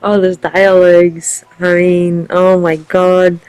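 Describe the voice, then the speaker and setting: A woman's voice: fairly low pitch, level tone, takes it slowly, a little mellow, smooth, dry recording, low-quality recording. A woman doing informal let's play commentary, musing aloud expressively as she hosts a gaming video. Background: faint game music.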